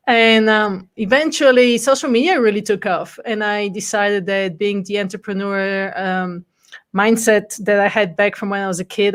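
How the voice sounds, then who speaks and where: Woman telling her story. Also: medium pitch. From Bulgaria. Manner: frequent ums and light laughter, candid storytelling. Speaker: guest speaker. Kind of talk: casual interview chat.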